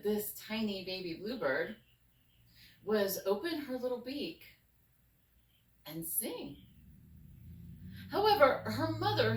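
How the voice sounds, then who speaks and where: A woman talking and telling stories in an earnest, expressive and sometimes halting way. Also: mid-range voice. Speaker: fairy tale writer and storyteller. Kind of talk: heartfelt personal talk, then storybook reading. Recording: roomy, distant mic with background noise.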